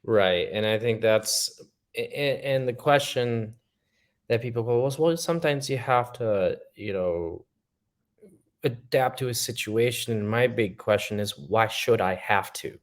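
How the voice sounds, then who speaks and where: A man's voice: medium pitch, measured pace. A man speaking as a podcast guest in casual chat, earnest and reflective with an optimistic tone.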